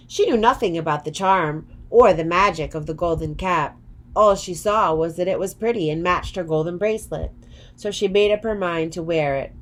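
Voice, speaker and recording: A woman narrates a children's story as a storyteller. Her voice is on the low side, and her reading is expressive.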